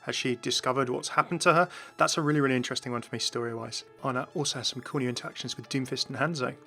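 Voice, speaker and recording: A man's voice: mid-range voice, racing, creaky, bright, forceful tone, faint music behind. A man hosting a video about game voice lines with enthusiastic commentary. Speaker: video host.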